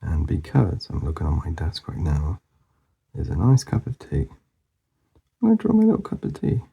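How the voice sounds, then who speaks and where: A man talking casually as he works through a drawing, mumbling and rambling in a soft voice that often drops to a whisper, and he is losing his voice. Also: rumbling low, huge pitch swings, moderate pace, vocal fry, slightly rough. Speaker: artist demonstrating a drawing.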